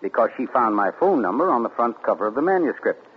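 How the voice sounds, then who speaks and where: An actor in a 1940s radio play voicing a sinister, amused man who studies poisoners, with a husky voice and a mocking chuckle. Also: medium pitch, very animated, quick pace, a little hiss or hum, close to an everyday voice. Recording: muffled 1940s radio broadcast.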